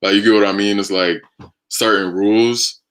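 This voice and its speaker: An American man with a deep, low voice hosts a live stream. He talks in a casual, conversational way, like someone answering questions from viewers. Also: steady tone, normal speed, a bit bright, poor audio. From United States.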